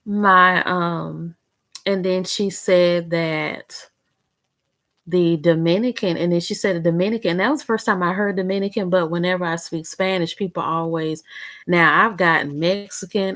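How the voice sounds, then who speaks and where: A woman's voice: lowish voice, fairly bright tone. A young woman vlogger chatting casually to the camera in a rambling, conversational way. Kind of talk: casual chat.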